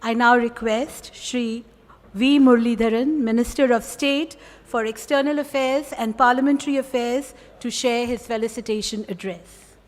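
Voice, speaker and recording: A woman's voice: fairly high pitch, fairly even intonation, moderate pace. A woman acts as master of ceremonies, making polished, formal announcements at an award event. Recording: reverberant hall.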